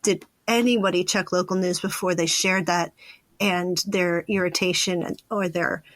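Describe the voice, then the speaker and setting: A woman's voice: on the low side, steady tone, moderate pace, a bit bright, no room sound. A woman hosting a podcast interview, speaking casually and stressing her points by repeating phrases.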